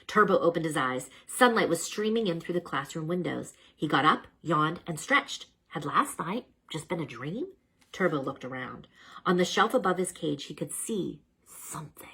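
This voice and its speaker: Animated woman reading a children's storybook. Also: deep voice, normal speed, husky. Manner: dramatic, expressive, chatty asides to listeners. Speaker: read-aloud storyteller.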